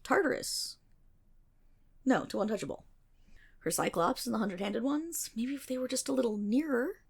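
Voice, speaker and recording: A woman's voice: a little high, lively intonation, moderate pace, vocal fry, a little mellow, slightly rough, full character voice. A woman playing a nerdy, playful best friend in an ASMR roleplay, telling stories in a chatty, dramatic, self-interrupting way with mock exasperation. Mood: wry storytelling. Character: nerdy, playful female best friend rambling excitedly about mythology. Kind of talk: ASMR roleplay, casual storytelling monologue.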